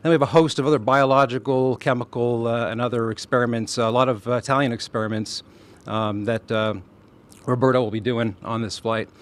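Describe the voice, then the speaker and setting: An astronaut talks about the mission to the press with enthusiasm. He speaks loosely, with many fillers between his points, in a plain mid-pitched voice. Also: steady tone, fairly quick. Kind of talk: press statement, loosely spoken.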